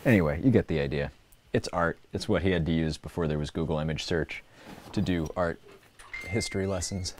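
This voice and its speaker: A man with a fairly low voice hosts a casual livestream from an indoor workspace. He rambles playfully as he shows things off. Some background noise and clicking sit behind his voice. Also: a little fast, a little mellow. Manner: playful, rambling show-and-tell. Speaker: livestream host. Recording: clearly noisy room recording with clicks.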